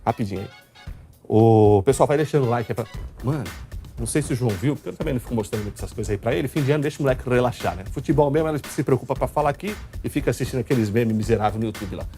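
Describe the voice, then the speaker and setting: A man's voice: mid-range voice, very fast, warm tone. A man with a São Paulo state Brazilian accent hosting a live football talk show in a chatty, colloquial, teasing way. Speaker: host. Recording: music under the voice. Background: background music.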